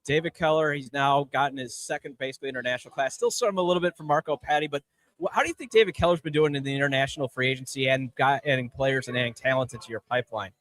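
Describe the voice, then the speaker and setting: A man's voice: mid-range voice, racing, fairly bright tone. A man hosting a casual live sports podcast interview, rambling and restarting his phrases. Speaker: podcast host.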